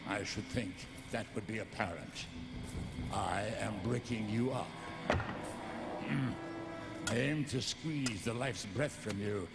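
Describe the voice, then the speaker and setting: A man's voice: medium pitch, vocal fry. An actor playing a gleeful, vengeful murderer in an audio drama, theatrical and taunting, who also narrates his own crime. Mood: cold menace. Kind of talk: audio drama acting with first-person narration. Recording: loud music under the voice.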